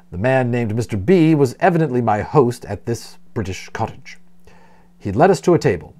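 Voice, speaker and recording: Animated, mellow male storyteller. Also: medium pitch, moderate pace. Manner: dramatic, with brief explanatory asides. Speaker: audiobook reader, storyteller. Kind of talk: expressive storybook narration.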